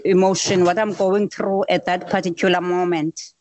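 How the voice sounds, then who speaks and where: A woman, a young musician, answering interview questions in slow, plain, repeated phrases. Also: fairly low pitch, level tone, a little mellow, no room sound. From South Africa. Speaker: musician guest.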